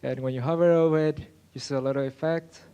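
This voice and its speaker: A young man from Venezuela giving a class project presentation, halting with frequent fillers. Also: mid-range voice, fairly even intonation, dark tone, clear, resonant tone, lo-fi sound. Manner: halting, many uh fillers and restarts. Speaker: student presenter.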